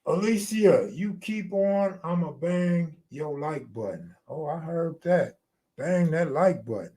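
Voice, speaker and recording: A man's voice: fairly high pitch, lively intonation, takes it slowly, mellow. An American man hosting a live stream, chatting casually in slangy, profane, streetwise talk.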